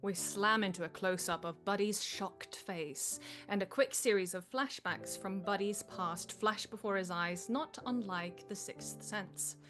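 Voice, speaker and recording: A woman's voice: medium pitch, a little slow, few pauses, a bit bright, faint music behind. A woman reading stage directions as scripted narration at a live table read.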